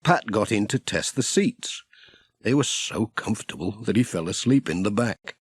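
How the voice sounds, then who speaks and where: Animated, husky British male storyteller. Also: lowish voice, moderate pace, no echo. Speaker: storybook narrator. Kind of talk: scripted children's story narration.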